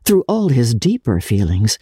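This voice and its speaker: A woman with a husky, dark-toned voice reads literary narration in a dry, close recording. Her delivery is measured yet theatrical and expressive, with a highly varied melody. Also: no room sound. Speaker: audiobook narrator.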